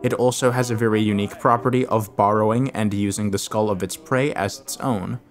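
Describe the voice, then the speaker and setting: A low male voice delivers a scripted horror explainer. He speaks in a measured, expository manner, setting out each point as a narrator would. Music plays faintly behind him. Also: fairly even intonation. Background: background music.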